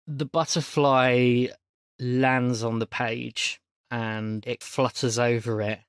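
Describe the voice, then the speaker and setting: A man's voice: medium pitch, no echo, studio quality. A man narrating a tabletop role-playing game as game master.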